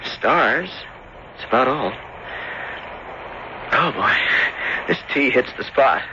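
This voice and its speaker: An actor playing an earnest, lovesick young seafarer back home, speaking haltingly in radio drama style. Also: male voice, mid-range voice, animated. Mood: earnest, reflective. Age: young adult. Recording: dull, noisy old radio broadcast.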